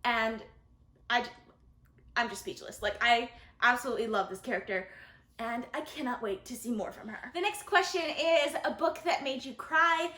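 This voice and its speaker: A woman with a high-pitched voice talks casually to her viewers in a roomy, echoey space. She reviews books in a gushing, enthusiastic way and puts strong emphasis on her opinions. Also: moderate pace, sharp tone. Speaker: book reviewer, video host. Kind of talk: casual book-tag vlog talk to viewers. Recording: roomy echo.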